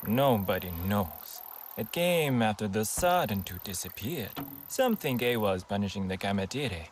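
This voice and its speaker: A man's voice: fairly low pitch, expressive, normal speed, a little hiss or hum, natural voice. A man playing a nervous, curious alien scholar in a video game, hesitant and thinking aloud. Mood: musing.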